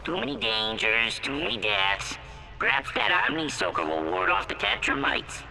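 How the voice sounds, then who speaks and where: An actor voicing a wary alien cave-dweller guide in a video game, with a gravelly, sharp voice. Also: male voice, high-pitched, expressive, normal speed, loud music behind the voice, exaggerated voice, poor audio. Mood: grim warning.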